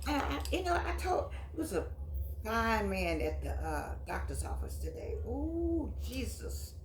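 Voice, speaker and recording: A woman in her eighties hosting her own vlog, chatting and reminiscing in long, drawn-out phrases. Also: a little high, very animated, a little hiss or hum. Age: 80s. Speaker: host. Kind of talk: casual vlog monologue.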